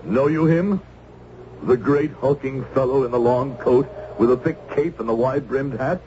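An actor playing a stern, righteous Puritan reverend in an old-time radio drama, speaking in formal, archaic, moralizing phrases. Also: male voice, medium pitch, moderate pace, mellow, close to an everyday voice. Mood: composed, solicitous. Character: stern zealous Puritan reverend, righteous witch-hunting preacher. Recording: muffled, noisy old radio broadcast.

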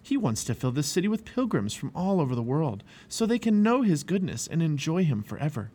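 A fervent young pilgrim from a children's storybook, acted out by a man. His voice sits high and moves around a lot, earnest and trusting as he pleads his case. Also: very animated, a little fast, dark tone.

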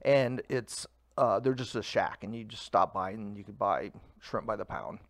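A man in his fifties who runs a food truck, talking casually in an interview, relaxed and rambling with fillers. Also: fairly low pitch, moderate pace.

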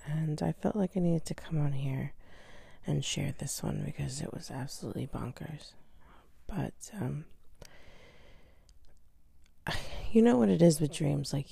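Raspy, gravelly-voiced woman just awake. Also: deep voice, dry recording. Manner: soft, often half-whispered, hesitant with fillers. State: raspy just-woken morning voice. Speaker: podcast host. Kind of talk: casual solo storytelling.

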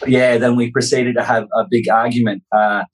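Husky, halting Australian man. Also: medium pitch, level tone, poor audio. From North Queensland, Australia. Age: 40s. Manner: halting, stop-start, self-deprecating. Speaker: interview guest. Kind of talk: casual anecdotal storytelling.

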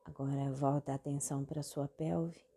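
A woman with a Brazilian accent hosting a guided meditation, giving calm instructions in short phrases with long pauses between them. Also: rumbling low, creaky, slightly rough. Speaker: guided meditation podcast host. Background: meditation music.

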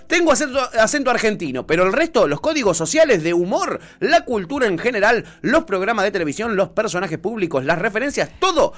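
A man's voice: sits high, sing-song, brisk, hardly any pauses, fairly bright tone. A man with a Rioplatense Spanish accent co-hosting a casual chat show, campy and mocking. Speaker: co-host.

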